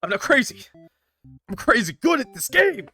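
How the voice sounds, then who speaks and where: A man chatting and commentating on a livestreamed game in an excitable, playfully combative way, bantering with viewers and swearing freely. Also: extremely high pitch, normal speed, vocal fry, breathy edge, faint music behind. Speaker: live streamer playing a game. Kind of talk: animated casual livestream chat and game commentary.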